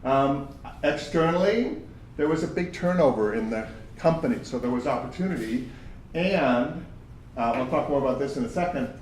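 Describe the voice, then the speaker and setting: A man with a big voice teaches a job search workshop in a plain, conversational way, filling his points with examples from his own working life. He is recorded from well off the mic, so the sound is roomy and distant. A faint steady hum sits underneath. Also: medium pitch. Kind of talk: workshop talk to job seekers.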